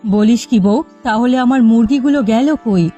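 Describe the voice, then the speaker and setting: A woman's voice: on the high side, moderate pace, natural voice. A woman voicing a bossy, determined village housewife in a cartoon, with music underneath. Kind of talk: Bengali cartoon voice acting.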